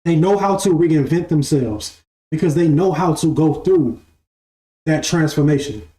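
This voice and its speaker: A young man in his twenties talks through spiritual ideas in a loose, repetitive way, checking in with "right?" as he goes. His voice is mellow and sits a little high. The room is echoey, with faint music underneath. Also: measured pace. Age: 20s. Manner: repeats phrases, asks "right?". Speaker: spiritual live-stream host. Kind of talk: casual spiritual monologue.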